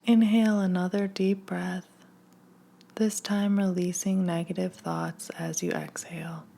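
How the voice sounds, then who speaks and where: Female meditation guide: lowish pitch, gravelly fry at phrase ends, gentle and hushed scripted read with unhurried gaps. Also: little pitch movement. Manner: gentle, soothing, hushed delivery with long pauses.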